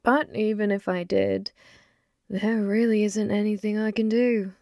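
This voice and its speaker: A woman playing a flirty, possessive, taunting female demon in an ASMR roleplay, slow and intimate, often slipping toward a whisper. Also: medium pitch, fairly even intonation, a little mellow, no room sound, clean studio sound, natural voice.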